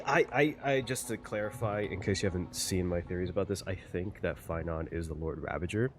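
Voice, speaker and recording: A man giving casual reaction commentary on a game story, thinking aloud and rephrasing as he theorizes. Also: deep voice.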